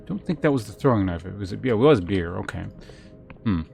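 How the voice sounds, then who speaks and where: A man's voice: lowish voice, animated, a little fast, gravelly, a little mellow. A man streaming a video game with casual live commentary, thinking aloud in a rambling way. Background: music.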